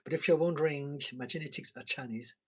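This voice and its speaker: A man's voice: a little high, fairly quick, slight background noise. A man with a French accent, a tired therapist chatting casually on a live stream and reading out viewer comments with frequent laughs. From France. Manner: chatty, laughs often, soft asides. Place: home in London.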